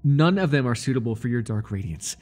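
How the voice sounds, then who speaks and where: A man playing an obsessive, jealous high priest in an ASMR roleplay, fawning over his god in grandiose, stammering, pleading speech. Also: expressive, brisk, a little mellow, close to an everyday voice. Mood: disdainful, jealous. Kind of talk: ASMR roleplay monologue, dramatic acting.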